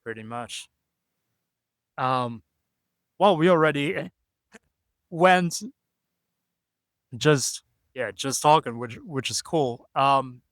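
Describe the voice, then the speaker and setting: A man's voice: medium pitch, a bit bright, no room sound, studio quality. Rambling male podcast host. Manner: hesitant, rambling, full of ums and "so, yeah". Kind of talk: casual interview chat.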